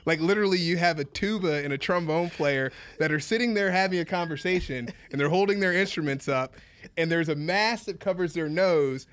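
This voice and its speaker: A man co-hosting a casual chat, animated, repeating himself and laughing often. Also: on the high side, fairly quick, a little hiss or hum. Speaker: podcast co-host.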